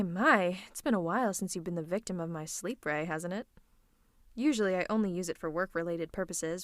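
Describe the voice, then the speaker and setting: A woman's voice: medium pitch, no room sound, clean studio sound, close to an everyday voice. A woman playing a teasing, theatrical supervillain who is fond of her nemesis, in an intimate ASMR roleplay. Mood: teasing, caring. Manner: coaxing, drawn-out endearments and monologues.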